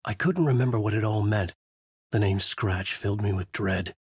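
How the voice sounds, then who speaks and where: An actor playing a tormented, haunted horror novelist, narrating a video game in grave, brooding noir fragments with a husky voice. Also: male voice, lowish voice, normal speed, no room sound, clean studio sound. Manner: grave, literary, brooding delivery in short fragments.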